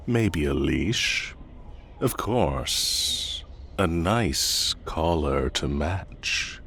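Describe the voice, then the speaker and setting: Casting: male actor for a possessive, menacing fae forest ruler in audio roleplay; low-pitched, extremely unhurried, condescending drawl. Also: slight background noise, full character voice. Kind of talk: audio roleplay acting addressed to the listener.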